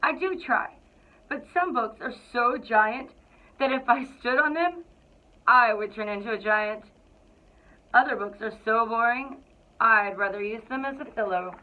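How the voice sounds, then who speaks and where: A woman reading a children's picture book aloud to school students in an expressive, deliberate storytelling way. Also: fairly high pitch. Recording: thin, phone-like narrow-band sound.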